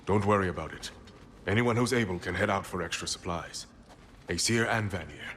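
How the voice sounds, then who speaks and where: An actor playing a steady, pragmatic leader in a fantasy video game, plain-spoken, measured and diplomatic. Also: male voice, low voice, a little hiss or hum. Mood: businesslike.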